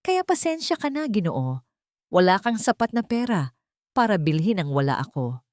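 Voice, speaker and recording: A woman with a Filipino accent voicing a proud, wounded young mother in a dramatic story, quiet but firm. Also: mid-range voice, very animated, moderate pace, dry recording, studio quality, close to an everyday voice. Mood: bitter, proud.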